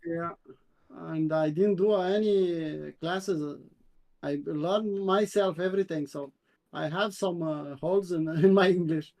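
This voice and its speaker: A man from Romania with a Romanian accent, reviewing crypto charts on a live stream in a halting way, with many fillers and repeats. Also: on the high side, a little mellow, poor audio. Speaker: co-host, crypto chart analyst.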